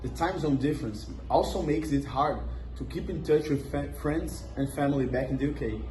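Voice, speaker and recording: A man's voice: fairly high pitch, normal speed, darkish tone. A young man answering interview questions in a scripted school role-play, reading memorized lines evenly. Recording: echoey room with background noise.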